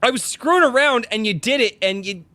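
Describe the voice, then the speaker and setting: A man hosting a casual podcast, chatting with excitable enthusiasm. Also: very high-pitched, a little fast. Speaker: podcast host.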